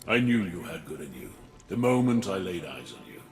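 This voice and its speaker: A man's voice: deep voice, sing-song, a little fast, music in the background. A man voicing a solemn, earnest spirit who gives cautioning counsel in a video game. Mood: warm, cautioning. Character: solemn male spirit entrusting a girl in his care. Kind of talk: video game character acting.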